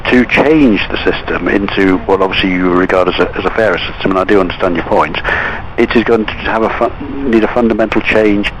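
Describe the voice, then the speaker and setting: Low-pitched, hesitant man. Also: a bit bright. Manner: hesitant, polite, measured disagreement. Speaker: bank representative taking a call. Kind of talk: explanatory phone conversation. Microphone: telephone call. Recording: noisy recorded phone call.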